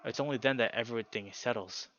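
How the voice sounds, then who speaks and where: A man's voice: mid-range voice, quick pace, fairly bright tone, no room sound, lo-fi sound, close to an everyday voice. A man voicing a paranoid, guilt-ridden young male animal character, reading visual novel narration in a troubled, confessional way. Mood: panicked, despairing. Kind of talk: visual novel text read dramatically. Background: guitar music.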